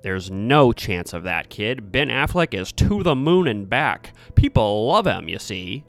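A slick old-time Hollywood agent, played by a man telling a comic story, brash and patronizing. He schmoozes loudly in showbiz patter, a bit above a natural low register, over background music. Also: sing-song, a little slow.

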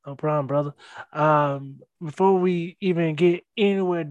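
A man's voice: a little high, normal speed, no room sound, highs cut off. A man hosting a podcast, interviewing a comedian guest with many ums and uhs. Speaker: podcast host.

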